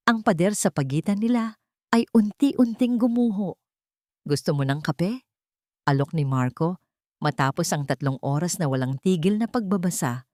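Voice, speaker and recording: A woman's voice: mid-range voice, darkish tone, dry recording, clean studio sound. A woman narrating a scripted audio drama in a dramatic, carefully phrased storytelling manner.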